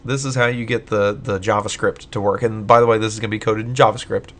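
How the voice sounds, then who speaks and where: Low-voiced man explaining code, slightly hoarse. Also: steady tone, quick pace, a bit bright. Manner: casual, thinking aloud with many fillers. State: voice getting hoarse late at night. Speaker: tutorial maker. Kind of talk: screen-recorded coding tutorial.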